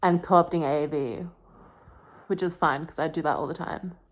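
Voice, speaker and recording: A woman's voice: low voice, normal speed, poor audio. A young woman vlogging in a casual, confessional way, with a melodramatic, wry and self-mocking manner. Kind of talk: casual confessional vlog with dramatic book reading.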